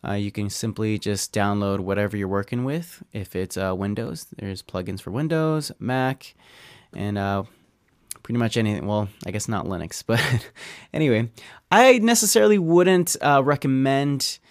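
A man talking through a software tutorial as an audio engineer, in an easygoing, conversational way with informal asides. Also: fairly low pitch, animated, moderate pace, clear, resonant tone, no room sound, studio quality. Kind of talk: casual software tutorial talk.

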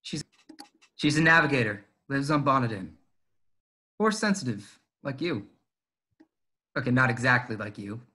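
A man playing a cocky but earnest pilot in a fan table read, with a casual, halting, persuasive manner. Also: mid-range voice, lively intonation, sharp tone, breathy edge. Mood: earnest, persuading.

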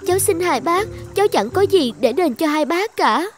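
A woman voicing a gentle, timid young maiden in an animated folk tale. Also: extremely high pitch, normal speed, soft background music. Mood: timid, pleading.

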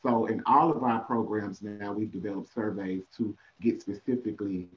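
A man with a somewhat low voice explains his program's approach over a video call, earnest and a bit hesitant. The audio sounds muffled and lo-fi, typical of a compressed call. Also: fairly even intonation, normal speed. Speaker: panelist, prevention program worker. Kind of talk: casual panel answer.

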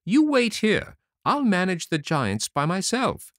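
A man's voice: high-pitched, huge pitch swings, a little slow, fairly bright tone, no echo, studio quality, voice pushed up, exaggerated voice. A man voicing a boastful, plucky little male tailor in a storybook reading, cocky and bragging.

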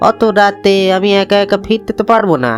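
A man's voice: sits high, fairly even intonation, a little fast, faint music behind, natural voice. A man voicing a bold, coaxing village schoolboy with schemes, in a cartoon story. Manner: coaxing, confident.

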